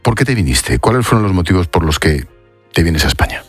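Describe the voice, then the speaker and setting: A man's voice: low voice, sing-song, a little fast, husky, soft background music. A man with an accent from Spain, presenting news and interviewing guests in a broadcast style.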